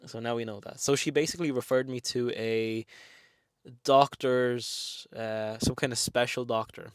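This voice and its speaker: A man's voice: mid-range voice, steady tone, normal speed, clear, resonant tone, dry recording, clean studio sound. A man in his twenties from Lithuania, speaking English with a Lithuanian accent, telling a personal story in a chatty, frank way with many fillers. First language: Lithuanian and Russian. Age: 20s. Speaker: vlogger. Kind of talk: casual storytelling to camera.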